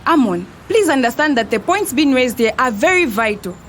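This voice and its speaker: An earnest young Zambian woman in an audio drama, played by an actress, reasoning emphatically as the friend in the middle. Her voice is high and animated, with some noise in the recording. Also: moderate pace.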